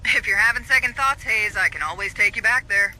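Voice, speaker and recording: A cocky space marine corporal from a game. He needles sardonically in a very high, piercingly bright voice over squad radio. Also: a little fast, low-quality recording. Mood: needling threat. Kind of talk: video game cutscene dialogue.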